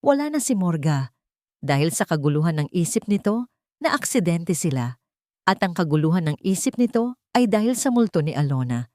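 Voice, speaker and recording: A woman's voice: fairly low pitch, sing-song, normal speed, mellow, no room sound, clean studio sound. A woman storyteller reading a scripted audiobook in a dramatic narrative style.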